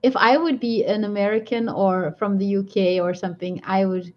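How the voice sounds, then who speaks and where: A woman's voice: medium pitch, steady tone, moderate pace. A woman with a strong Belgian accent chatting casually on a live stream, rambling and laughing often as she answers viewer comments. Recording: dull lo-fi stream audio.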